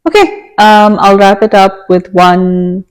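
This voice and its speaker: A woman's voice: mid-range voice, flat delivery, slow, clear, resonant tone. Conversational woman co-hosting a podcast. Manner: conversational, hedging with fillers. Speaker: podcast co-host.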